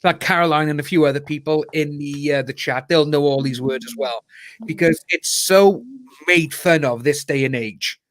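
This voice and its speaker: A man in his thirties talks with a broad Cardiff Welsh accent in a slightly high voice. He is chatty and jokey, laughs a lot and keeps calling people "mate". He comes over a video call with a dry, close sound. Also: normal speed, a bit bright, no room sound. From Wales. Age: 30s. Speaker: guest. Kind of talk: casual chat.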